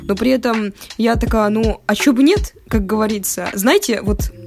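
A woman's voice: a little high, normal speed, music in the background. A woman in her twenties co-hosting a podcast in casual, slangy, giggly chat. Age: 20s. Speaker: podcast co-host.

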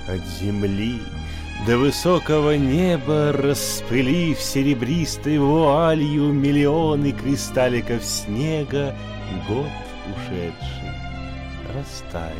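Guy acting as lyrical winter tale narrator, radio play, very slow verse, music loud behind. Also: mid-range voice, close to an everyday voice. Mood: solemn, dreamy. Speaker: performer in a radio play, singer. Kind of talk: slow poetic recitation and lullaby singing over music.

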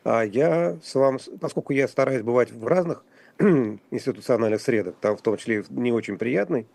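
A man speaking as a guest commentator on a radio talk show, halting and wry, with frequent restarts and fillers. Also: mid-range voice, animated, gravelly, darkish tone, no echo. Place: radio studio.

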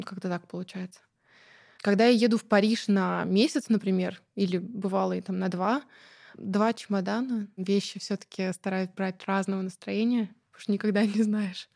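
A woman guest talking in a relaxed interview, chatty and lively, with many fillers and light laughs. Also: mid-range voice, vocal fry. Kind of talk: casual conversational interview.

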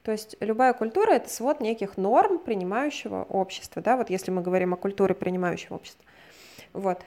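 A woman from Russia, a guest expert, explaining ideas in a measured, lecturing way and often hedging her words as she talks. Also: mid-range voice, animated, a little mellow. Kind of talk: explanatory conversation.

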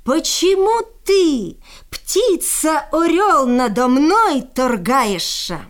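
A simple, wary peasant man of a folk tale, as a woman storyteller plays him. He talks in a plaintive, questioning way, high and slow, with lots of rise and fall. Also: very animated, full character voice. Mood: reproachful.

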